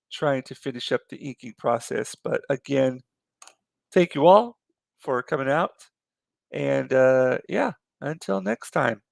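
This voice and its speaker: A man hosting a live art stream, losing his voice and talking in a relaxed, rambling way with many fillers. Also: medium pitch, gravelly, dry recording. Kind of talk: casual late-night chat.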